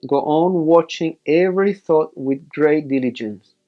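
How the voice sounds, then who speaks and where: A man leading a guided meditation as a teacher, giving measured instructions in short, separated phrases. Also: fairly high pitch, muffled recording, faint music behind.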